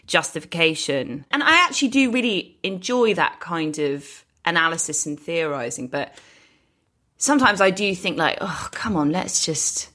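A woman's voice: on the low side, lively intonation, normal speed, sharp tone. A woman co-hosting a podcast in casual chat, musing aloud and repeating phrases for emphasis. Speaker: podcast co-host.

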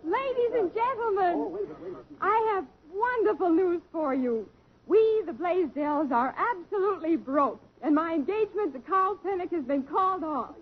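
An actress playing an impulsive, bubbly young society daughter in a 1950s radio drama. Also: female voice, very high voice, lively intonation, moderate pace. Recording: tinny old radio broadcast.

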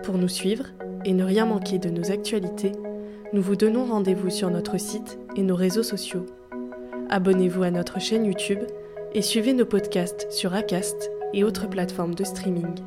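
A woman hosting a podcast, asking gentle, probing questions of her guest. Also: medium pitch, fairly even intonation, moderate pace, loud music behind the voice. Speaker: podcast host and interviewer. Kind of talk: interview questions and scripted intro.

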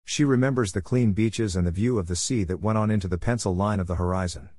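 A man reads a personal essay as scripted narration. His voice sits on the low side, and the sound is dry, with very little room in it. He works as the narrator of an opinion column. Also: quick pace, a little mellow, dry recording.

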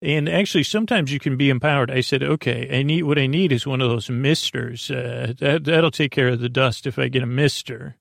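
Man, heavy vocal fry, bedtime rambler, meandering and repetitive, very dry sound. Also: mid-range voice, no room sound. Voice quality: creaky, gravelly. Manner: meandering, halting, repeats and trails off, whimsical. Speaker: host of a bedtime sleep podcast. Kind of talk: rambling bedtime podcast monologue.

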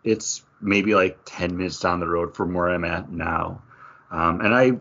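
A man with a fairly low voice talks as a podcast co-host. His delivery is casual and conversational, wandering a little as he goes. The recording is slightly dull and lo-fi. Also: measured pace, fairly bright tone. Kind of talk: casual chat, craft beer review. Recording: dull, lo-fi audio.

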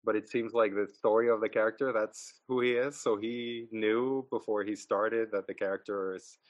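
A man's voice: on the low side, steady tone, normal speed, no echo. A man co-hosting a casual film podcast, rambling with fillers and laughing often. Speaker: podcast co-host.

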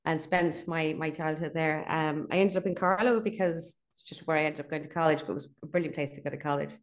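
Fast-talking low-voiced Irish woman. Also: steady tone. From Ireland (Dublin). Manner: chatty, fast, laughs between points. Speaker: guest, company CEO. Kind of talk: casual interview chat.

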